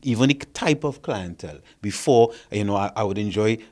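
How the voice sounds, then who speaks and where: A fairly low-voiced man, a therapist, lays out his theory to the panel. He talks in an explanatory way and keeps checking in with the others by asking "right?" His delivery stays measured and conversational. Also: expressive, darkish tone. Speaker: therapist and behavior consultant.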